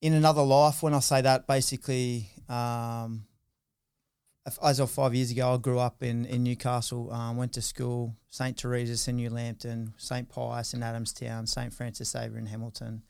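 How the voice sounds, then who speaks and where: An Australian man in his mid-thirties talks through his story as a podcast guest. He rambles, restarting sentences and filling gaps with ums and you-knows. His voice is smooth and clear, at a middling pitch, recorded dry and close. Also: flat delivery, normal speed, a little mellow, no room sound. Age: 30s. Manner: rambling, many fillers and restarts. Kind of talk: casual interview.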